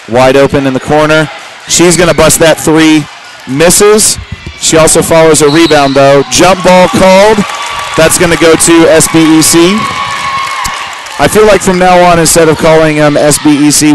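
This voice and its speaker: A man with a loud voice giving halting, amateur live play-by-play of a basketball game. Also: a little high. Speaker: play-by-play sports commentator. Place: large indoor school gymnasium during a game. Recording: noisy gym crowd and bouncing ball. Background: crowd noise, basketball bounces.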